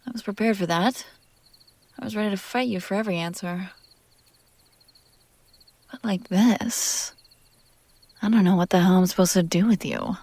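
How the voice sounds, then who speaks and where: A woman playing a possessive, teasing demon in an intimate scripted audio roleplay, speaking theatrically with drawn-out phrases that drop toward whispers. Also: mid-range voice, a little mellow, no room sound, lightly acted. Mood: puzzled, exasperated.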